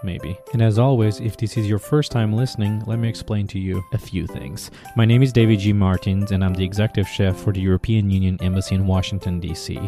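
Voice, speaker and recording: A man's voice: on the low side, steady tone, fairly quick, few pauses, over music. A man from Portugal with a Portuguese accent, hosting an interview podcast in a playful way with joking asides. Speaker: podcast host.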